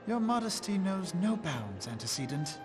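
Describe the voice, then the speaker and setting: A man's voice: high voice, sing-song, normal speed. An actor playing a jovial, grandiloquent statesman in a fantasy video game, with a florid, oratorical delivery. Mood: buoyant. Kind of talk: theatrical fantasy game voice acting. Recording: loud music behind the voice.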